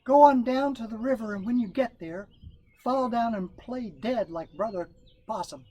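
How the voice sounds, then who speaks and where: A man's voice: extremely high pitch, normal speed, dark tone, pitched above the performer's own voice. A man voicing a sly, confident male rabbit trickster in a folk tale, drawling and boastful. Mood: scheming.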